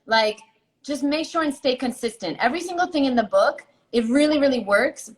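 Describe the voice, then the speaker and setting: An enthusiastic woman guest chats casually in an interview. Her voice sits fairly high, and she sounds gushing and upbeat. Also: animated, brisk. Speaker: guest, beauty ebook author.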